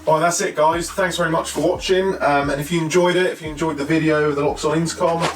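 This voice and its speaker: A man's voice: on the high side, fairly even intonation, quick pace. A British man giving a casual, unscripted product review, thinking aloud in short, hesitant fragments. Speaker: presenter of a tech product review. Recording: roomy echo, distant mic, faint music.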